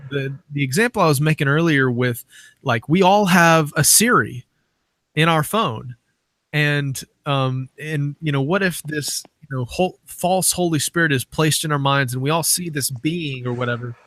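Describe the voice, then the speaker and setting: A man's voice: a little high, moderate pace, fairly bright tone, no echo. A man hosting an interview, talking casually and conversationally as he sets out questions and ideas. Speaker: interviewer, podcast host.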